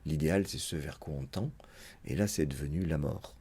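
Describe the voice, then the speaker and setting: Brief: male psychoanalyst, very low husky voice, muses aloud through a lecture with many pauses and fillers. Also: dark tone. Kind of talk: unscripted spoken lecture.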